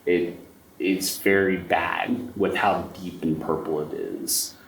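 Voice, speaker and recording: A man's voice: low-pitched, steady tone, takes it slowly, creaky. A man acting as game master, narrating a tabletop horror game to players. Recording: echoey room, faint noise.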